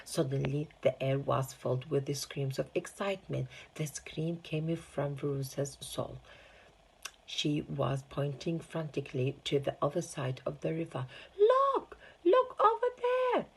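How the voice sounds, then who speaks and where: A woman reading a children's story aloud in an animated storytelling style. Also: a bass voice, measured pace, vocal fry, a little hiss or hum, poor audio. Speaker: storybook reader, teaching assistant.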